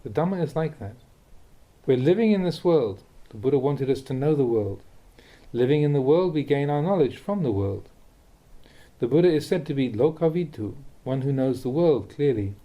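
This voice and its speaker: A man reading a Buddhist teaching aloud as instructive narration, with measured, deliberate phrasing. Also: medium pitch, expressive, dark tone, dull audio. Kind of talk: read-aloud dharma talk, instructive narration.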